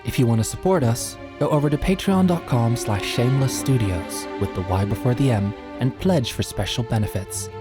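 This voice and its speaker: A man's voice: mid-range voice, normal speed, few pauses. A man reading the closing credits and support notes as the show's producer. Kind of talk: scripted outro announcement. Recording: dry with faint music behind.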